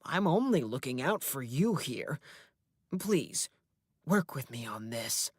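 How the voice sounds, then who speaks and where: A woman's voice: a bass voice, big pitch swings, takes it slowly, breathy edge, no room sound, studio quality, full character voice. A woman voicing a possessive, menacing male creature that hides in a closet, who speaks in a coaxing, controlling way that turns into threats. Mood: falsely soothing.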